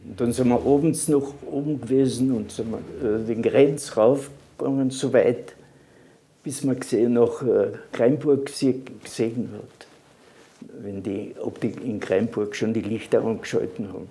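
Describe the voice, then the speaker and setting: A man in his eighties with a Carinthian accent, whose first language is Slovene, recalling his life in an interview in a halting way, often restarting his sentences. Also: mid-range voice, fairly even intonation, mellow. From Carinthia, Austria. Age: 80s. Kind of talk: reminiscing interview.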